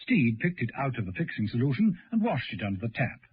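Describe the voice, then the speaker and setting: Male narrator, dramatic storytelling with animated intonation, mid pitch, on dated lo-fi radio sound. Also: fast, breathy edge. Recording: dull old radio recording.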